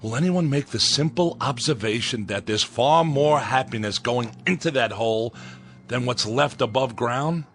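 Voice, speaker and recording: A man's voice: mid-range voice, normal speed, fairly bright tone, slight background noise, close to an everyday voice. An actor playing a defiant, bitter young man of a space colony in a radio drama, speaking in an impassioned, declamatory way. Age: young adult.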